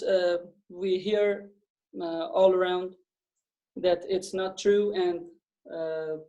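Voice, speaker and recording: Slow-spoken man with a Persian accent; careful, apologetic video-call talk. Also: sits high, dark tone, low-quality recording. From Iran. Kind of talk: casual group video call.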